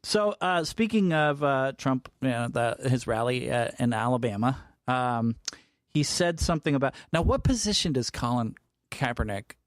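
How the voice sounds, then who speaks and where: A man's voice: mid-range voice, big pitch swings, normal speed, no echo. Chatty man co-hosting a podcast, dry sound. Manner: talkative, laughs often, reads news quotes aloud. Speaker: podcast co-host. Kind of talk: casual chat.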